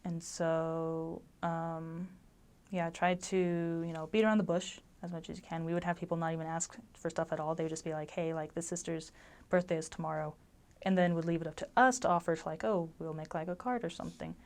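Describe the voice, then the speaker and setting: A woman's voice: low-pitched, fairly even intonation, brisk, darkish tone. A young woman giving casual advice about living abroad, rambling with lots of ums and likes. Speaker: former resident giving advice.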